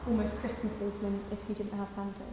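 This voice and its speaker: Interviewer: woman, medium pitch, informal questioning, heavily reverberant hall with clear background noise. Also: completely flat delivery, a little fast. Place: large village hall, indoors.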